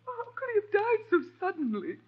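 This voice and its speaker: High-voiced tearful young widow. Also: female voice, sing-song, a little fast. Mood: grief-stricken. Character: grieving young widow, genteel and fragile. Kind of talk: old-time radio melodrama. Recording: tinny old radio recording.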